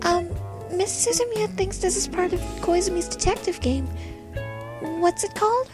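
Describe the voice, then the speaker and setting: A petite, sweet schoolgirl in an audio drama. Her voice is extremely high and childlike, with a shy, flustered, hesitant politeness. Music plays clearly behind her. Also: normal speed. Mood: puzzled, uncertain.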